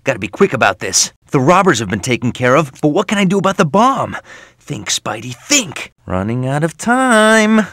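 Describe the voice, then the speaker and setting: An actor playing a wisecracking, earnest young superhero in a video game, with a boyish, jokey and exclamatory delivery. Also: male voice, fairly high pitch, sing-song, normal speed, fairly bright tone, no echo, studio quality. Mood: urgent, worried. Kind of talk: animated video game voice acting, quips and tutorial hints.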